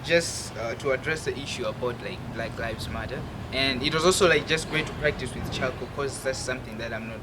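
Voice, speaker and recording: A young man from Malawi, answering interview questions earnestly and loosely, leaning on fillers as he goes. His voice sits at an everyday middle pitch. A clear hum of air conditioning and background noise runs under the recording. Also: a little fast, few pauses. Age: 20s. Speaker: guest artist.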